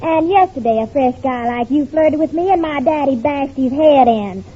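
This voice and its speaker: A woman's voice: high voice, animated, moderate pace, slight background noise. A woman playing a sassy, disdainful department store salesgirl in 1940s radio comedy, curt and sarcastic. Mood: curt, warning. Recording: muffled old radio recording, faint audience.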